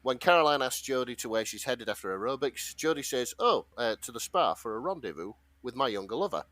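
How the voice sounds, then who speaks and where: A man's voice: medium pitch, big pitch swings, a little fast, fairly bright tone, no echo. A man talking casually and wryly as a podcast co-host, summarising and reading out a story in an informal way. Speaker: podcast co-host presenting a fan fiction story.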